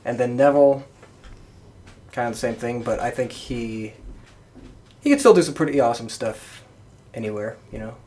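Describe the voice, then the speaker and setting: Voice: medium pitch, expressive, moderate pace, pauses often, darkish tone. A podcast co-host talking casually and informally with friends.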